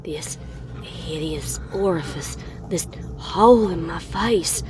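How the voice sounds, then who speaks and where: A woman playing a disfigured pony in a slow horror monologue, haunted and obsessive, breathy and often dropping to a whisper. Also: fairly low pitch, darkish tone. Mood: morbid fascination. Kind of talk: slow horror monologue, scripted dramatic reading.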